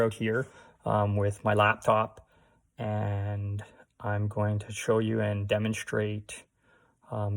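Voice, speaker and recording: Man giving a halting, casual how-to. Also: lowish voice, gravelly. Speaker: host of a DIY radio tutorial.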